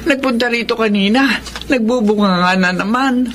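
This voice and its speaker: A woman playing a weary, long-suffering mother in a Filipino radio drama, speaking with gentle, plaintive feeling. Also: fairly high pitch, normal speed, lightly acted. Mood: troubled, pleading. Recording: clear background noise.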